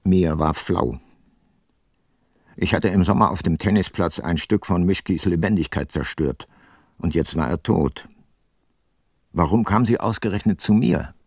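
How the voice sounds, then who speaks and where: An actor playing a reflective, weary private detective in a radio drama, measured and laconic. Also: male voice, low-pitched, a little mellow, dry recording, clean studio sound. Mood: reflective, wry. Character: weary, reflective male private detective narrating his case. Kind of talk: scripted narration in a radio drama.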